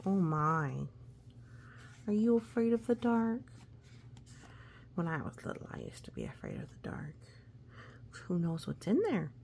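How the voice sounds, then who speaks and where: A woman reading a children's storybook aloud expressively, with gentle asides and hushed, suspenseful phrasing. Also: lowish voice, measured pace, creaky, pauses often, a little hiss or hum. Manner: expressive, hushed suspense, often dropping to a whisper. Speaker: storybook reader.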